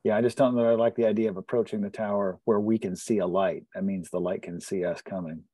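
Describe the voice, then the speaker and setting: A man's voice: on the low side, fairly even intonation, fast talker, warm tone, dry recording. A man chatting casually as a player in a tabletop roleplaying game.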